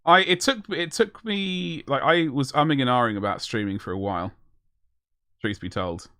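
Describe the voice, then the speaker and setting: Casual male streamer, chatty and rambling, mid-pitched voice, dry close mic. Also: normal speed, pushed and bright, smooth, dry recording. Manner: rambling, self-deprecating, answers chat. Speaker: miniature painting streamer. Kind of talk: casual live-stream chat while painting.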